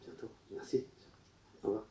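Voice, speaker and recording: A man working as a medium at a public séance, relaying messages in short, broken, halting phrases. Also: mellow, slight background noise. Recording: roomy echo.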